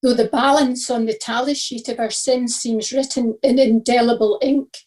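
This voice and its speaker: Female reader, fairly high voice, scripted devotional reflection. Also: fairly even intonation, normal speed, a little mellow.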